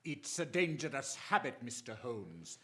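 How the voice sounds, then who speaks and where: A man playing a menacing, coldly polite criminal mastermind in a radio drama, speaking formally and deliberately. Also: medium pitch, sing-song, a bit bright. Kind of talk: stage-style radio drama acting.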